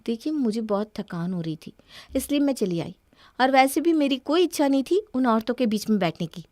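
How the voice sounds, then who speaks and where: Woman playing a reluctant young bride, weary, dismissive, fairly high voice, cartoon dialogue, dry sound. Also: expressive, a little fast, a little mellow, no echo, close to an everyday voice. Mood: defensive. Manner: weary, brushing people off. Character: aloof, unwilling young new bride. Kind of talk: Hindi cartoon story dialogue.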